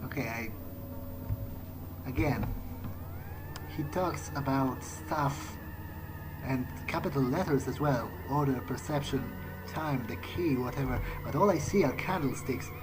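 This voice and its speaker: A man's voice: mid-range voice, measured pace, pauses often, low-quality recording. A man giving in-character gameplay commentary, musing hesitantly to himself as he plays. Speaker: let's play gamer. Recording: game music behind the voice. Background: video game soundtrack.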